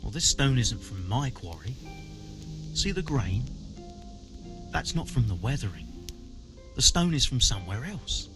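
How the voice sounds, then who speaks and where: An actor playing a proud, indignant medieval quarry master in a video game, blunt, grumbling and defensive. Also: male voice, medium pitch, very animated, a little slow, pauses often, music in the background. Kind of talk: video game dialogue acting.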